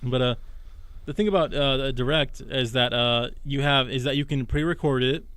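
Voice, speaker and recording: A man's voice: mid-range voice, moderate pace, a bit bright, clean tone, no echo. A young adult man co-hosting a college radio video game podcast, explaining things casually and correcting himself as he goes. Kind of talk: casual discussion.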